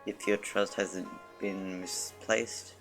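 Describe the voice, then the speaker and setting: A streamer comments casually on a game in a very low voice. The delivery is muttered and halting, with loud game music playing behind. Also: level tone.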